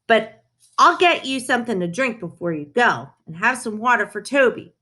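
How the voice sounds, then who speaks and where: A woman's voice: fairly high pitch, animated, normal speed, sharp tone. A woman reading the part of a friendly, plain-spoken rancher man in a storybook. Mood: approving, friendly.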